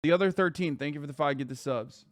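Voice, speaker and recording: Profane, fast-talking male streamer. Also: on the high side, no echo. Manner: profane, animated lecturing to chat; rhetorical "okay?" asides. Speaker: live streamer. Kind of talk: live stream commentary reacting to tweets.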